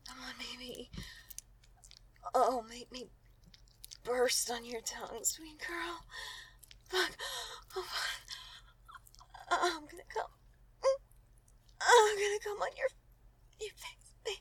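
Breathy, slow-paced seductive woman, acted. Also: fairly high pitch, fairly bright tone, exaggerated voice. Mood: aroused, urgent. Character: seductive, dominant yet tender woman lover. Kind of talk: intimate erotic audio roleplay.

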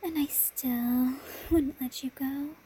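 A woman with a high, soft voice speaks slowly and intimately, as in adult audio roleplay. She moves between breathy whispering and mellow, sultry murmuring. Faint but steady noise sits behind her. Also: rough recording. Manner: sultry, drawn-out, intimate delivery. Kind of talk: erotic audio roleplay, intimate monologue addressed to listener.

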